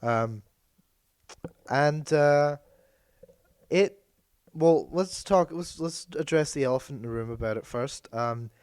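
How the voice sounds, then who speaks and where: Hoarse man rambling on a podcast. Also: a little high, slow, pauses often, darkish tone, no echo, studio quality. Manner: meandering, hesitant, self-correcting. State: hoarse, losing his voice from illness. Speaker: podcast co-host. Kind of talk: casual chat.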